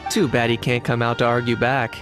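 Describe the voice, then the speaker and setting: An actor playing a calm, dry-witted young adventurer in a video game, speaking in a measured, deadpan, articulate way. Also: male voice, mid-range voice, natural voice. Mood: composed, deadpan. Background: background music.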